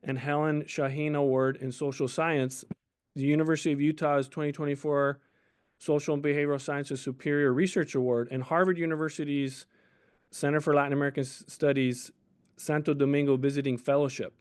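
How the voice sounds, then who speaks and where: A somewhat high-voiced man hosts a workshop over a video call. He reads a formal introduction carefully, stumbling on a few words. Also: flat delivery, brisk, clear, resonant tone. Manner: formal, careful, with small slips. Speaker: workshop host. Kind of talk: reading a speaker introduction.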